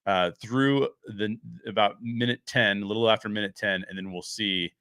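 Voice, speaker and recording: Man, low pitch, crisp forceful tone, dry close sound, explanatory show host, unscripted review commentary. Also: steady tone, normal speed, no echo.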